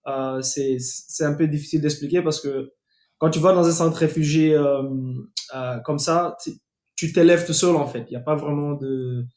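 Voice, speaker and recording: A young man from Guinea, guest on a podcast, tells his story in loose, halting sentences full of restarts. His voice sits somewhat high. The sound is roomy and echoey, as over a remote call. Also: steady tone, darkish tone. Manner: hesitant, many fillers and restarts. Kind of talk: casual interview talk. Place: home in Atlanta.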